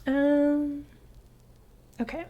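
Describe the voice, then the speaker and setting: A young woman streaming a game, chatting in a soft, hesitant way with lots of fillers. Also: high voice, monotone, very slow, dull audio. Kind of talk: casual gaming stream chat.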